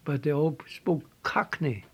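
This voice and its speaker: An older man from Switzerland with a Swiss German accent, telling his life story in halting English with repeated words and restarts. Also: a little high, expressive, slightly rough. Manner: halting, repeats words, non-native phrasing. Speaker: interviewee.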